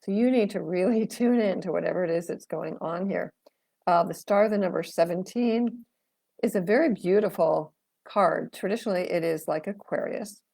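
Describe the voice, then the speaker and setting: A woman's voice: lowish voice, moderate pace, gravelly, mellow, no room sound. A middle-aged woman reading tarot cards on a live stream. She talks in a chatty, rambling way with many fillers and answers viewers' comments, and her voice is going. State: losing her voice. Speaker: tarot reader and astrologer.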